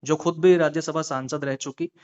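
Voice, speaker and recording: A male journalist with a slightly high-pitched voice conducts a political interview on a dull call recording. He presses his questions persistently, restating them in new words. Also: fairly even intonation, moderate pace, darkish tone.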